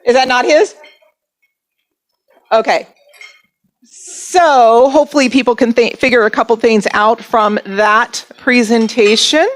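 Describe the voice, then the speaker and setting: A woman with a slightly high voice runs a club lunch meeting from a handheld mic. She's chatty and self-deprecating. Her announcements are casual and friendly. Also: unhurried, long pauses. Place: banquet room at a lunch meeting.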